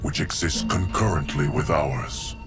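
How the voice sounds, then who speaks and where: An actor playing a stoic, scholarly guardian in a video game, with a formal, deliberate delivery. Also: male voice, rumbling low, lively intonation, over loud music. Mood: grave, certain. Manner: formal, deliberate, matter-of-fact. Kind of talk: dramatic video game cutscene acting.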